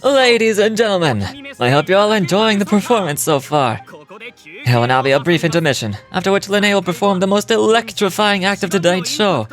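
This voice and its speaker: A man's voice: high voice, animated, brisk, fairly bright tone, faint music behind. An actor playing a charming young showman announcing a magic show in a video game. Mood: formal, showy.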